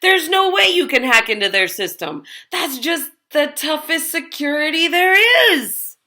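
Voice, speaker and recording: A woman's voice: sits high, expressive, a little slow, pushed and bright, pitched above the performer's own voice, heavily acted. A woman voicing a grumpy, skeptical male snake in a children's storybook, speaking in a blunt, doubting way. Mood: indignant.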